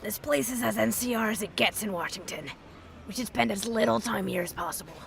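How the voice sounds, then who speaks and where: A woman playing a jaded, blunt wasteland wanderer in an audio drama, with a dry, sardonic delivery and gravelly voice. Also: medium pitch, a little fast, background noise, lightly acted. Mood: wary. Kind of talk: post-apocalyptic audio drama acting.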